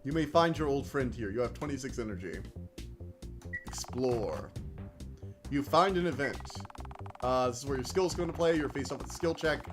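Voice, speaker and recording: A man streaming a video game, chatting excitably and reading the game text aloud, laughing often, with a slightly whiny voice. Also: mid-range voice, normal speed, pauses often. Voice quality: self-described whiny voice. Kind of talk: casual let's-play commentary, reading game text aloud. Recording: game music behind.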